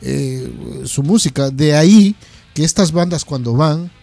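A man chatting casually as a programme host, haltingly and often repeating words. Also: fairly high pitch, vocal fry, warm tone, faint music behind. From Ecuador. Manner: hesitant, repeats words as they go. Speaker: host of a heavy metal radio programme. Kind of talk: casual interview chat.

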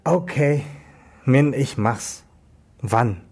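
Terse, reluctant young policewoman, very deep for a woman, husky, naturalistic. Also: expressive, very slow, a little mellow, exaggerated voice. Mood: weary. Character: weary, irritable young rookie policewoman. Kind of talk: naturalistic radio drama acting.

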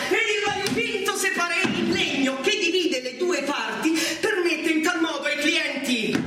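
A man narrating a dramatic stage monologue in a declamatory, measured way with theatrical pauses. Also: very high voice. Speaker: solo stage actor narrating. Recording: very echoey theatre recording, distant, with music. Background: music.

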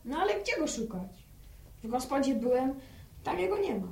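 Distant, high-voiced village boy. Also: very animated, normal speed, a little mellow. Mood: obliging. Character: young village boy, dutiful son running errands. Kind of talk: radio drama dialogue. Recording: roomy, distant from the microphone.

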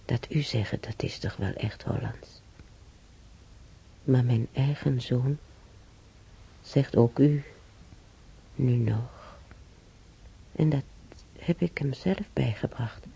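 An actress playing an ailing older woman in a radio drama, reminiscing wistfully about wartime, breathy and halting, often sinking to a whisper. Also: a bass voice, mellow, full character voice, poor audio. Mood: musing, subdued. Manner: halting, murmuring, repeating herself.

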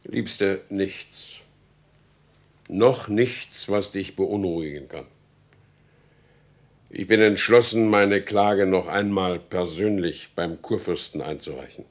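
An actor playing a proud, stubborn, wronged horse dealer in a radio drama, speaking in formal, insistent old-fashioned language. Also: male voice, sits low, slow pace, long pauses. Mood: grave, tender.

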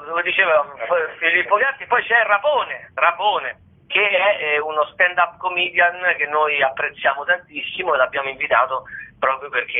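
Male, slightly high voice, casual interview talk, rambling, repetitive, thin telephone-like sound. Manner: rambling, circles back and repeats phrases. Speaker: comedian, guest promoting a variety show. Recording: tinny phone-like radio sound.

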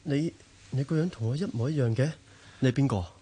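An earnest, righteous heir played by a man in a Cantonese radio drama. He has a Hong Kong accent and a mid-pitched voice, dutiful and principled. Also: brisk, warm tone, husky, close to an everyday voice. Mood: puzzled, earnest. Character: upright, dutiful male heir of a family business.

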